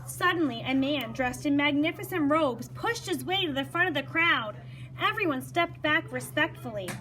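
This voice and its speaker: High-voiced woman reading a story aloud, background noise. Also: moderate pace, poor audio. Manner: animated, acting out dialogue. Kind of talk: expressive read-aloud with brief explanations for children.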